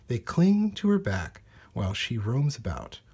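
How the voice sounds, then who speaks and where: A man reads a children's nature book aloud. His voice sits at a middling pitch with a noticeably breathy, husky grain. He phrases each sentence deliberately, as narration for young listeners. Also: very animated, a little mellow. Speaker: storybook reader. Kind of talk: read-aloud children's nonfiction storybook narration.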